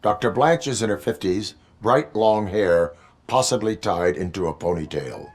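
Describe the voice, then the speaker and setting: An actor playing a stiff, dutiful cyborg police officer in a video game, speaking in a flat, formal, measured way. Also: male voice, lowish voice, natural voice.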